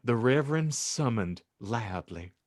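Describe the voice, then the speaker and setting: Male narrator, theatrical comedy read, unhurried pace, wide swings in pitch. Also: expressive, no room sound, clean studio sound. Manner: mock-earnest, theatrical. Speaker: podcast host and narrator. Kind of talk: comic dramatic audiobook narration.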